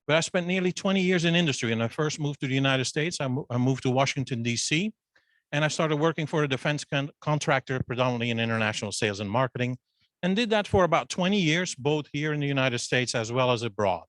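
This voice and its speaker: A man's voice: mid-range voice, animated, fast talker, fairly bright tone, no room sound, poor audio. A middle-aged man from Belgium with a Flemish accent, a business school dean introducing himself at a student meeting in a loose, chatty way. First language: Dutch. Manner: loose and conversational, restarts with fillers. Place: meeting with a Zoom link. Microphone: handheld microphone.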